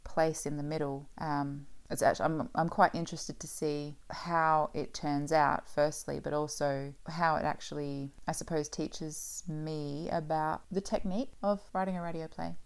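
A woman with a low-pitched voice co-hosts a podcast. Her talk is casual and reflective, as if thinking things through aloud. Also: little pitch movement, moderate pace, hardly any pauses, clean tone. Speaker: podcast co-host.

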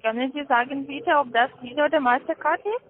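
A woman with a foreign accent giving a sales pitch over the phone, in halting, careful non-native phrasing. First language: not German. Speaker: call centre account manager. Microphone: telephone. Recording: muffled narrow-band phone line.

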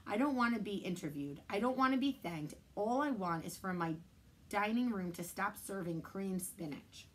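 Woman doing a gruff, bellowing old man. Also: medium pitch, lively intonation, normal speed, lightly acted. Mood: grumbling. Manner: loud, bellowing, curt. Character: gruff grumpy old man, war veteran, stubborn.

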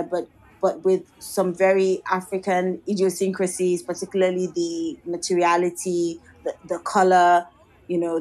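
A woman's voice: fairly low pitch, darkish tone, rough recording. Thoughtful woman with a Yoruba background. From Nigeria. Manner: thoughtful, many restarts and "you know", occasional light laughs. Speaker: guest architect and designer. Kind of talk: reflective interview answers.

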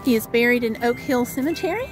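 A woman's voice: a little high, lively intonation, quick pace, music in the background. A middle-aged woman narrating an informal sightseeing tour as a travel vlogger, reading out signs and pointing out sights. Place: outdoors on small-town streets at night. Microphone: handheld camera at arm's length.

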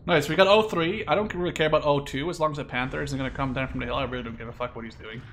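A young man leads his squad through a shooter game in casual chat, directing teammates and cursing freely. His voice sits at an ordinary male pitch, with game battle noise faintly behind it. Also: steady tone, very fast, a bit bright. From Canada or Netherlands. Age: 20s. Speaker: gamer leading his squad. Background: video game gunfire and battle ambience.

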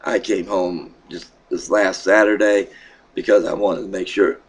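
Low-voiced middle-aged man, froggy throat. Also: steady tone, slightly rough. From Pennsylvania. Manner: rambling, halting, with many fillers and restarts. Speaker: vlogger. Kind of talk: casual vlog update. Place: small room in a home, indoors. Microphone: webcam at desk.

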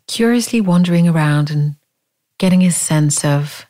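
A woman leading a guided body scan meditation in a calm, gentle, unhurried manner, leaving long pauses. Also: low-pitched.

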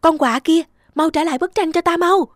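A woman's voice: very high voice, moderate pace, faint music behind, voice pushed up. A woman voicing an earnest, devoted young peasant husband in a cartoon folktale, exclaiming as he talks. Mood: alarmed.

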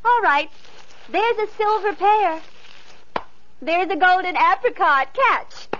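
An actress playing a gentle, kind-hearted young girl who is treated badly by her family, sweet and polite in a 1940s radio play. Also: very high voice, animated, slow, a little hiss or hum, exaggerated voice. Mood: cheerful. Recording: muffled old radio broadcast.

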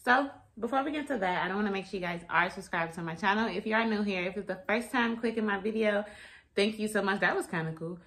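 A young woman chatting casually and informally to camera, her voice a little raspy and tired. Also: mid-range voice, fast, fairly bright tone. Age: young adult. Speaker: vlogger. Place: small room at home, indoors.

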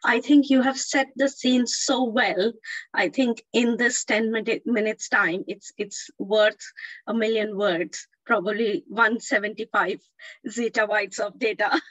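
Woman, panel moderator, chatty, a little high-pitched, dry sound. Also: fairly even intonation, a little slow, a bit bright, no room sound, low-quality recording.

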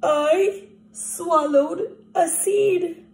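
A woman voicing an excitable, fretful crocodile from a picture book, with dramatic, drawn-out exclamations. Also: very high voice, gravelly, pitched above the performer's own voice, exaggerated voice. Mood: panicked. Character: excitable, fretful watermelon-loving young male crocodile. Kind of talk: picture-book character reading. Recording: echoey room recording.

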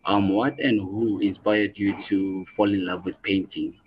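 A young man from South Africa interviewing a guest over video, asking quick eager questions with fillers. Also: lowish voice, steady tone, breathy edge, muffled recording. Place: indoor room by a window.

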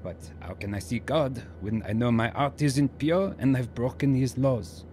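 A male actor plays an earnest French husband who has newly found faith. His voice is mid-pitched and mellow, with a reverent manner suited to radio drama. Faint ambience sits behind him. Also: measured pace, slight background noise, close to an everyday voice. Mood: reverent, searching.

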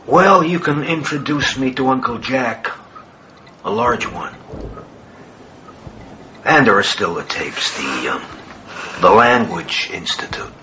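An actor playing a sceptical, level-headed Jesuit priest in a radio drama, terse and dry. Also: male voice, mid-range voice, lively intonation, slow pace, pauses often, bright, forceful tone, muffled recording, a little hiss or hum. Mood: guarded.

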